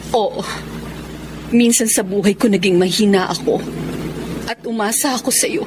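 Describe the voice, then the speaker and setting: A woman playing a resolute wronged wife in a Filipino audio melodrama, with an emphatic, deliberate delivery and a Filipino accent. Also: medium pitch, noisy background, close to an everyday voice. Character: wronged wife Samantha, resolute, standing up to abusive husband. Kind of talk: Filipino radio-style melodrama acting.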